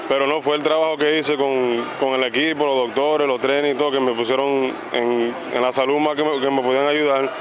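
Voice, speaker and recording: Quiet, quick-talking man at a ballpark. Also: medium pitch. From United States. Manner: quiet, calm, soft-spoken, mixes English words into Spanish. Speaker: baseball pitcher, interviewee. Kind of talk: casual interview answers. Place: outdoors on a baseball field by the stands. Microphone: handheld mic held by interviewer. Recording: noisy outdoor stadium ambience.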